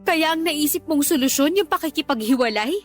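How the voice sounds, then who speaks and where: A woman's voice: sits high, lively intonation, a little fast, faint music behind. A woman with a Filipino accent acting a defensive, clingy girlfriend and mother in a radio drama, argumentative and pleading. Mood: insistent.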